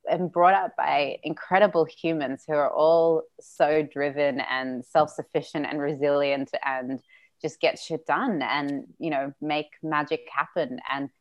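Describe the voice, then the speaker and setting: A young woman with a low-pitched voice tells her story as an interview guest. She is earnest and chatty, breaks into laughter often and drops the odd swear word. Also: fairly even intonation, measured pace. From Australia. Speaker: guest, business founder. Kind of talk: casual interview chat.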